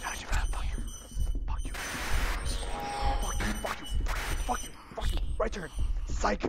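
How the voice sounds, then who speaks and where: A young man playing a horror game at home into a headset mic, panicky and jumpy, his voice going hoarse. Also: high-pitched, huge pitch swings, a little slow, loud music behind the voice. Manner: jumpy, panicky, screams and mutters. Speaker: gaming YouTuber. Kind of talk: horror game let's play commentary. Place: small room at home, indoors.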